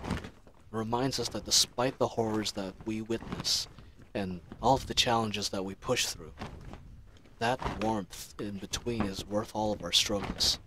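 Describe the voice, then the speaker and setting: A man's voice: on the low side, unhurried. Man acting an earnest fantasy knight captain, ambient noise. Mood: wistful, earnest. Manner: reflective, rambling, earnest, playfully indignant. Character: earnest, chatty young male knight captain, idealistic and teasing. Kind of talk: fantasy audio roleplay drama monologue. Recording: noisy ambient sound effects bed. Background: added outdoor ambience sound effects.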